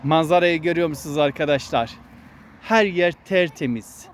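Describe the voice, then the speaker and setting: A man's voice: fairly high pitch, normal speed. Male vlog host, fatherly explainer, chatting casually on an outdoor walk, light ambient noise. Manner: explanatory, addresses child and viewers. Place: outdoors on a walking path. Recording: noisy outdoor recording with traffic hum.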